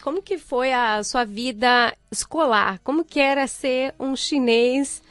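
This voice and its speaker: A woman from Brazil with a São Paulo accent, hosting a radio interview in a lively, chatty way. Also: high voice, a little slow, clean tone, no echo. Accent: Brazilian Portuguese, São Paulo. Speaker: radio host.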